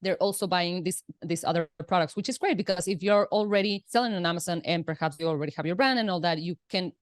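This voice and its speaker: A woman whose first language is Spanish speaks English in a low voice with a chatty, explaining manner, full of fillers and restarts. She talks over a video call, and the sound is dry and close. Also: fast, dry recording. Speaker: guest, Amazon seller. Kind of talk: casual interview chat.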